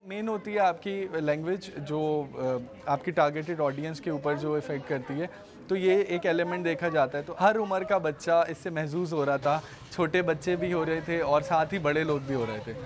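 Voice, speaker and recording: Higher-pitched Pakistani man being interviewed. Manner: mixes English words into Urdu. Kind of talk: interview answer.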